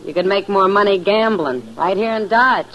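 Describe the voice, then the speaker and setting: A woman's voice: medium pitch, moderate pace, noisy background, natural voice. A woman acting a wry, teasing saloon woman in a 1950s western radio drama, dry in manner. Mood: wry, probing. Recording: muffled old radio recording.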